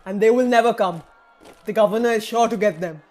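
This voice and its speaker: A man's voice: sits high, fairly quick, soft background music, natural voice. A man playing a grave, resolute rebel king in a theatrical audio drama. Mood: alarmed, bitter. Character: rebellious male king, grave, resolute, turned against his own rule. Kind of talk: theatrical stage-play acting in an audio drama.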